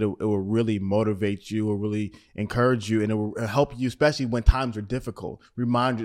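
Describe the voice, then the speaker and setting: A man's voice: fairly low pitch, darkish tone. A man hosting a podcast, affirming his guest, laughing often and asking reflective questions. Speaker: podcast host. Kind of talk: interviewer chat.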